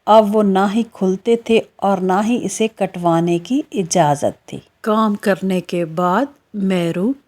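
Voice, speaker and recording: A woman's voice: mid-range voice, slow pace, hardly any pauses, darkish tone. A woman narrating a scripted children's moral story as the storyteller.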